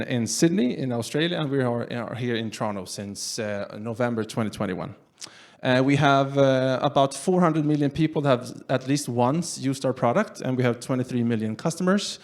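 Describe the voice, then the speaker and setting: A man from Sweden with a Swedish accent, presenting his company's tool live to a meetup audience in a relaxed way, with many fillers, reading out audience poll results as they come in. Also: medium pitch. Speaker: company general manager presenting.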